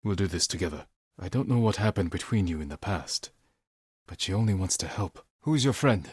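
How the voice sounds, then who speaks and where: An actor playing a solemn, resolute samurai warrior in a video game, speaking in a measured, earnest, formal way. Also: male voice, low-pitched, animated, darkish tone, breathy edge, no room sound, studio quality. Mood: steady, serious. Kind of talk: dramatic video game voice acting.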